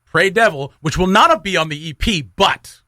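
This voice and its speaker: A man's voice: sits high, lively intonation, moderate pace, sharp tone, dry recording, studio quality. A man hosting a casual radio show in an upbeat, chatty way. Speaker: radio morning show host. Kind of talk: casual radio chat and interview. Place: radio studio.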